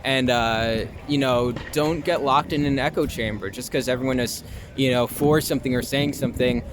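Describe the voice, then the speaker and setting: A man's voice: medium pitch, moderate pace. A young American man from Long Island chatting casually and informally as an interviewee, feeling his way through answers. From Long Island, New York, USA. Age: young adult. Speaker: interviewee, actor and filmmaker. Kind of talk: casual street interview chat. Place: outdoors in the city. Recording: noisy outdoor city street with traffic.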